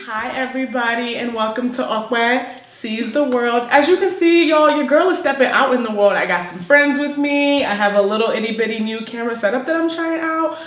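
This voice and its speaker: A woman's voice: a little high, normal speed, hardly any pauses. Chatty woman hosting in an echoey room. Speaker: vlog host interviewing a friend. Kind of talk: casual chatty interview. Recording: roomy, echoey sound.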